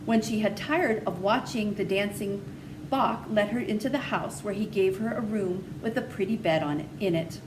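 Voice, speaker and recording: A woman's voice: medium pitch, moderate pace. A woman narrating a children's story, reading aloud from a book. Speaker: storybook reader. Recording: noisy, distant microphone pickup.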